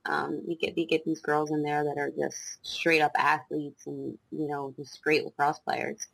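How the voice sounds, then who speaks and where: A young woman with a slight New Jersey accent talks as a guest on a podcast, pausing often with ums and restarting her sentences. Her voice sits low for a woman. The sound is dry and close, with no room behind it. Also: level tone, vocal fry, no echo, poor audio. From South Jersey, USA. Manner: hesitant, many ums and restarts. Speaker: guest lacrosse coach. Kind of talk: casual interview chat.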